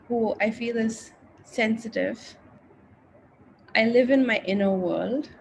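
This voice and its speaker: A woman's voice: mid-range voice, vocal fry, darkish tone, poor audio. Reflective woman over faint background noise. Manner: reflective, introspective. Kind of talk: reflective personal testimonial.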